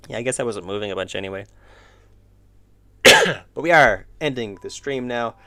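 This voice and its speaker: A man's voice: medium pitch, expressive, moderate pace, bright, forceful tone, dry recording, soft background music. A man chatting casually on a live stream while drawing, rambling and thinking aloud with restarts and chuckles, his voice wearing out. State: losing his voice. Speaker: streamer and indie game developer.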